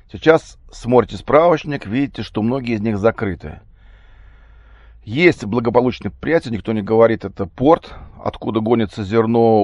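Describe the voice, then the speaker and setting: A man talks off the cuff in a fairly low voice, slightly hoarse with a cold. His delivery is casual and halting, with corrections along the way. The sound is dry and somewhat muffled. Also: darkish tone, no room sound. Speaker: vlogger. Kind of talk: casual spoken monologue. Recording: dull, muffled lo-fi recording.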